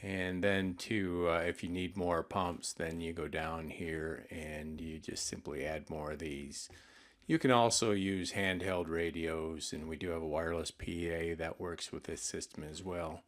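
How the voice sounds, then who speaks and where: A man's voice: sits low, steady tone, unhurried. A man presenting products in an informal demonstration, explaining things in a plainspoken, somewhat hesitant way. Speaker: product presenter for an intercom retailer.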